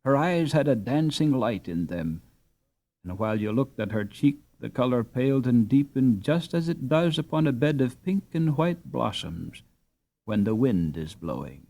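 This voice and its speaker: A man reading a story aloud as an audiobook narrator, in an expressive, dramatic storytelling manner. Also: medium pitch, normal speed.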